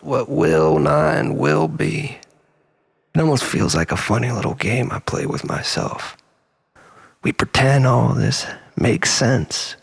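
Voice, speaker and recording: A man delivering a dramatic, confessional spoken-word monologue in a brooding, theatrical manner, often dropping toward breathy near-whispers, with a creaky voice. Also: fairly high pitch, fairly bright tone. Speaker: storyteller, monologist.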